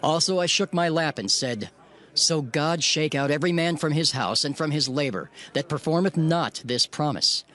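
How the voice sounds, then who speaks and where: A man narrating a dramatized King James Bible reading in a solemn, measured way. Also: a little high, lively intonation, fairly bright tone. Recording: narration over clear music bed.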